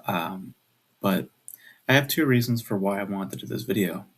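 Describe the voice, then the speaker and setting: A man in his twenties talks casually to his viewers in a low voice with plenty of creak. He keeps it hushed, as if others nearby are sleeping, and rambles along hesitantly. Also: animated, normal speed. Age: 20s. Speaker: video creator. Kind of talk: casual video chat. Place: at home at night.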